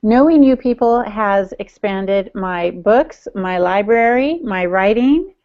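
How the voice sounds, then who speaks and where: A middle-aged woman chats casually as a podcast co-host. Her medium-pitched voice is noticeably creaky, and the video-call sound is a bit dull. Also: animated, takes it slowly. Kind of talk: casual chat. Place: home room.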